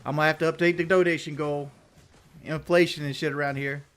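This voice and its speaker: A man's voice: a little high, little pitch movement, a little fast, fairly bright tone, clean tone. A man chatting casually on a livestream, rambling and blunt, swearing freely and repeating himself as he talks to his viewers. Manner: repeats himself and restarts often.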